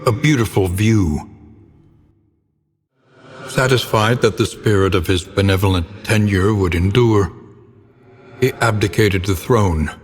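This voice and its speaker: A man playing a grim, solemn narrator of dark gothic game tales, speaking in theatrical, ornate phrasing. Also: on the low side, a little slow, long pauses. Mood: solemn, grave. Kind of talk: dramatic scripted gothic narration. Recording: long reverberant tail, clear background noise.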